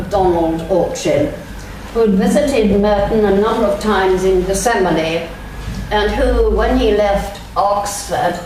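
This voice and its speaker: An older woman from England giving a lecture in a large church, speaking thoughtfully and pausing often with ums. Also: mid-range voice, a little mellow. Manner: slow, thoughtful delivery with frequent ums. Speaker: guest lecturer. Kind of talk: church lunchtime lecture, reading quotations aloud. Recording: very echoey church, speaker heard from a distance, faint background noise.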